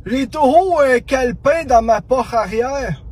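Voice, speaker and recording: A pushy, childlike prank caller with a Quebec French accent. The voice is extremely high-pitched and raspy. He whines and rambles through his phone call with dim, familiar persistence. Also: lively intonation, a little slow, darkish tone, full character voice. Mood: hesitant, rambling. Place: inside a parked car.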